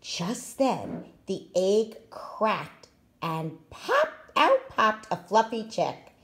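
A woman reading a children's picture book aloud in an expressive, deliberate way. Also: medium pitch. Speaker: storytime reader, school staff.

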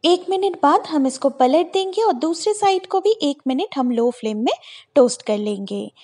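A woman narrates a home recipe in a friendly, instructive voice-over. Her voice sits high and moves with animated pitch. She walks through each step plainly and clearly. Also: moderate pace. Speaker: cooking channel host.

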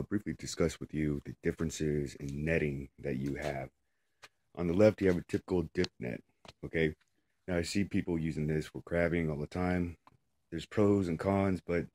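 A man's voice: a bass voice, steady tone, moderate pace, darkish tone, a little hiss or hum. A middle-aged man giving a casual how-to talk, plainspoken, often checking in with "okay" and "right". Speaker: fishing vlogger.

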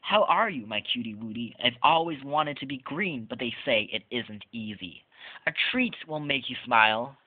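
A man's voice: mid-range voice, animated, moderate pace, no echo, natural voice. Man reading as a playful storybook girl, tinny audio. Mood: playful, coaxing. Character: playful, fearless little girl greeting her spooky Halloween friends. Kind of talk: storybook read-aloud.

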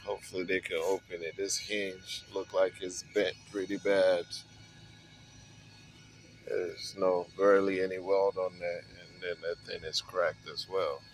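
Laid-back low-voiced man filming outdoors. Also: flat delivery, faint music behind, rough recording. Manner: laid-back, unhurried, talks to the camera. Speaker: mobile welder. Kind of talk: casual vlog narration while working. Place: outdoors on a work site, beside a truck. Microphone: handheld phone camera and wireless earpiece. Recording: outdoor phone recording with background noise.

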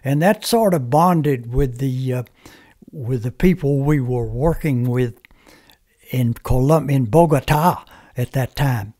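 Older veteran telling stories, halting. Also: male voice, medium pitch, dark tone. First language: Comanche. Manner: halting, plain-spoken storyteller. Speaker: guest, veteran. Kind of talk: reminiscing interview talk. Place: small podcast studio. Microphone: studio mic on stand, headphones.

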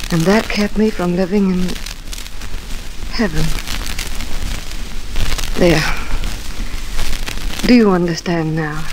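A woman's voice: fairly low pitch, slow pace, pauses often. A woman playing an emotional, haunted young woman longing for love, with fervent dramatic delivery in vintage radio style. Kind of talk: 1930s-40s radio acting. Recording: noisy old radio drama recording.

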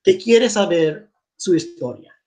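A middle-aged man from Guam speaks on a video call in a humble, halting way. His mellow, soft-toned voice sits a little high, and he often repeats words as he goes. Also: expressive. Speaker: guest caller. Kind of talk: online video call talk. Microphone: laptop webcam on video call.

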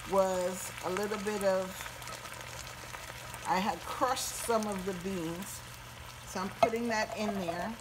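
A woman's voice: mid-range voice, background noise. A woman hosting a home cooking video, chatting casually and folksily to her viewers as she cooks at the stove. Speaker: home cooking channel host. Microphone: handheld phone camera.

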